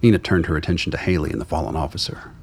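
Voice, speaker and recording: A man's voice: extremely low pitch, lively intonation, racing, gravelly, darkish tone. A man reading scripted dramatic narration for a radio play.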